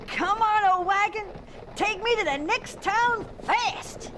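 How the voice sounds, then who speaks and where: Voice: very high voice, slow pace, pushed and bright, faint music behind, heavily acted. An actor playing a polite, frightened runaway boy of about thirteen in a radio drama, earnest and eager. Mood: desperate. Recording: distant, echoey, very noisy dramatized scene.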